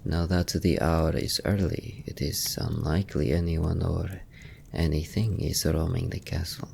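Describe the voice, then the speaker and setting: A man playing a gentle, sorrowful young vampire nobleman in an ASMR fantasy roleplay, speaking softly and formally with a gravelly, breathy voice. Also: low voice, steady tone, a little slow, a little hiss or hum, full character voice. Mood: grave, hushed. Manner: formal, archaic, softly spoken.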